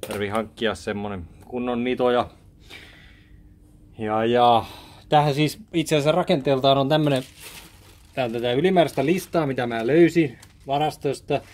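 A man's voice: medium pitch, darkish tone. A man in his thirties talking casually in a rambling, chatty way while he walks through building a film set in a small office studio. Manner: chatty, rambling, with many restarts. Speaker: video maker showing a set build. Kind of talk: casual DIY vlog talk. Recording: background music under the voice. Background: music playing under the talk.

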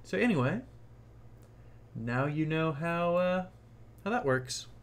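A man's voice: a little high, animated, slow pace. A man narrating a casual tech tutorial, explaining things informally step by step.

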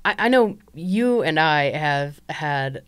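A young woman hosting a podcast in an easygoing way, asking her guests questions at a studio boom mic. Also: expressive, slow, a bit bright, smooth. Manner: easygoing, asks questions, laughs along. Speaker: podcast host. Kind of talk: casual chat. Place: small podcast studio with colored lights. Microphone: studio mic on boom arm, headphones.

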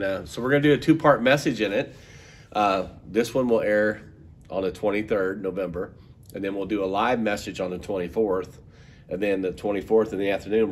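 A man's voice: fairly low pitch, slight background noise. A man, a pastor preaching a podcast sermon while congested from a head cold, casual and conversational with fillers and repeats.

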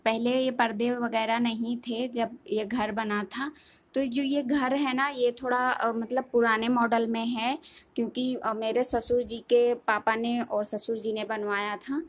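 A young woman with a fairly high voice. She talks casually, as a vlogger showing viewers around a home. She explains things plainly and often rephrases what she has just said. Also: little pitch movement, measured pace. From India. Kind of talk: casual house tour narration. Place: inside a family house, moving room to room. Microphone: handheld phone behind the camera.

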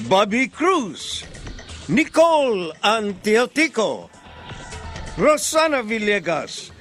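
High-voiced Filipino male announcer, slow delivery. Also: a bit bright. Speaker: radio drama announcer reading credits. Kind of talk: scripted radio announcing.

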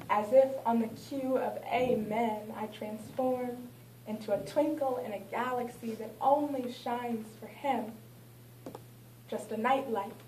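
A woman's voice: a little high, a little mellow, slight background noise. Young woman reciting poetry in a roomy gallery. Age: young adult. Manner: rhythmic, dramatic recitation with refrains. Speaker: spoken word poet. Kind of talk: spoken word poetry performance. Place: small art gallery, indoors. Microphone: far camera, no mic. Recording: roomy, distant camera recording.

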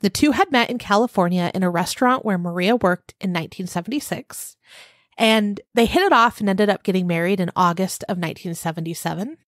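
A woman in her thirties co-hosts a true crime podcast in a casual, conversational way, working through her researched notes. Her voice sits at a middle pitch and sounds close and dry, with no room around it. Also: fairly quick, no room sound. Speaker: podcast co-host.

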